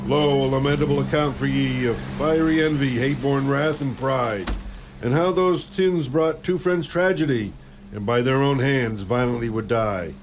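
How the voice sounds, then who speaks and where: A man's voice: mid-range voice, normal speed, noisy background, lightly acted. A man playing a romantic, grave bard who tells tragic tales in theatrical rhyming verse for a radio drama. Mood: mournful. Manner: poetic, theatrical diction. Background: faint musical accompaniment.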